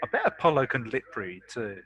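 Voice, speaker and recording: A man's voice: medium pitch, sing-song, a little fast, a bit bright, husky, dull audio. A man chatting casually as a player in a tabletop roleplaying game.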